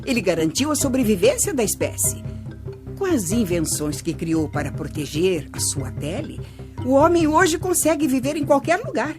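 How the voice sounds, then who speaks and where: An actress playing a kindly older Brazilian grandmother who teaches through stories in a children's radio drama, measured and expressive. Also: a little high. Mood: patient, instructive. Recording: music bed under voice.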